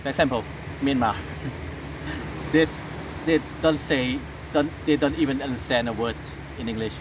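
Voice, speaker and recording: A man from Thailand with a Thai accent, speaking English as a second language in a casual interview, halting with many fillers and restarts. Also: medium pitch, background noise.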